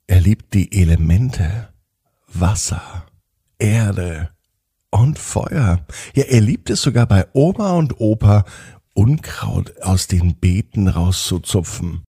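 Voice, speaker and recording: Deep, slow, gravelly male bedtime storyteller. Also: dry recording, studio quality. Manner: gentle, unhurried storytelling with dramatic pauses. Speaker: children's bedtime podcast storyteller. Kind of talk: bedtime story narration for children.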